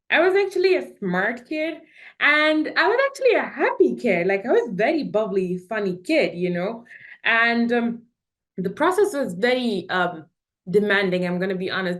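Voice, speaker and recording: A woman in her twenties telling personal stories as a panelist, chatty and candid. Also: on the high side. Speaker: author and panelist. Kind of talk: casual personal storytelling on a panel.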